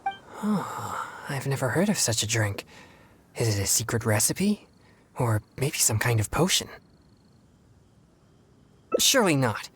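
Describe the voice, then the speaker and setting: An actor playing an earnest, polite young exorcist in a video game, speaking in a formal, measured, self-controlled way. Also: male voice, mid-range voice, a bit bright, slightly rough.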